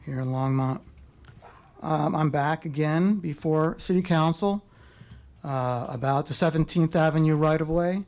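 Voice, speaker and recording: A man's voice: a little high, a little mellow. A man giving an unscripted public comment to a city council, hesitant and full of fillers, with a note of frustration.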